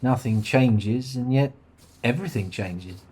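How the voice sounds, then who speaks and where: An actress playing a remorseful, awkward driver weighed down by guilt in a naturalistic radio drama, speaking with hesitant stammers. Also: female voice, rumbling low, measured pace, dark tone. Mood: subdued, awkward. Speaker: radio play actor.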